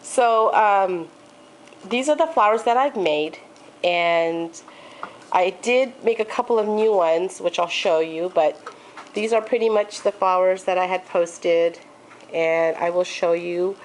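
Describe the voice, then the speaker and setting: A woman walks viewers through a handmade craft project in a relaxed, chatty way. Her voice is congested and sits on the lower side. A faint hiss of noise runs under the recording. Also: unhurried, low-quality recording. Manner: chatty, with frequent ums and okays. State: congested from allergies. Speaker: crafting tutorial host. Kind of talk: casual craft tutorial.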